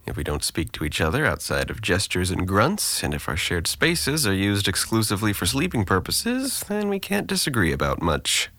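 A man's voice: on the low side, huge pitch swings, normal speed, few pauses, pushed and bright, full character voice. An actor playing a sarcastic, guarded, depressed young man with dry wit and an articulate, deflecting manner in an audio drama. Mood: sardonic, wry.

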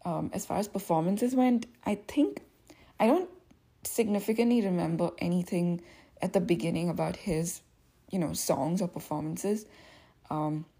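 A woman talks alone on her podcast in a relaxed, reflective ramble full of "um" and "like." Her voice sits fairly low and has a mellow, dark color. A gravelly fry runs under her words. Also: moderate pace. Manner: reflective, rambling, many fillers. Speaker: podcast host. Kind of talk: casual solo podcast talk.

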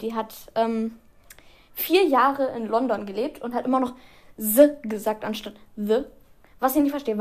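Teen girl vlogger, slightly high voice, chatty and giggly, rambling life update. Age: teens. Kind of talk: casual chat to camera.

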